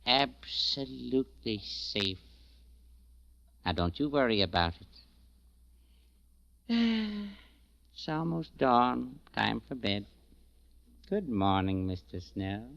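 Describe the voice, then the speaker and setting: An actor playing a sly, smoothly menacing man in a 1940s radio drama, speaking in a measured, mocking, insinuating way. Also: medium pitch, very animated, fairly bright tone, full character voice. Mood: falsely soothing. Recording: dull 1940s radio recording.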